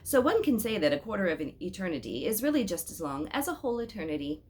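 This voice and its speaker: A woman's voice: mid-range voice, very animated, fast talker, a little mellow, natural voice. A woman voicing a male wise king who reasons in a precise, pedantic way. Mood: pondering, pedantic.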